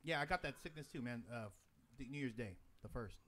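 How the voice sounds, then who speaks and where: A man rattles through a rambling story at a fast clip, loose and relaxed in how he tells it. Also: mid-range voice, crowd noise. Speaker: podcast co-host. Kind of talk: casual storytelling.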